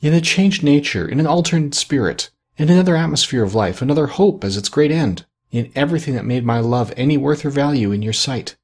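A gentle, sorrowful young woman , voiced by a male narrator, who speaks softly but with steadiness. The husky voice is raised well above the reader's own to suggest her. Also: mid-range voice, lively intonation, brisk, hardly any pauses, pitched above the performer's own voice. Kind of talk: audiobook character voice.

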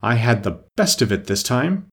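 A man's voice: mid-range voice, sing-song, moderate pace, a bit bright, breathy edge, heavily acted. A man voicing a careless, haughty storybook unicorn in a lofty, offhand, disdainful manner.

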